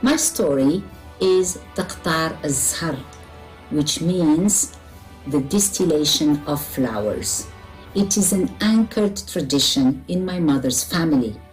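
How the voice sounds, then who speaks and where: A woman's voice: low voice, lively intonation, slow pace, a little mellow, faint music behind, poor audio. A woman of Algerian and Italian origin introducing her story in a recorded clip. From Algeria and Italy. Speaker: author.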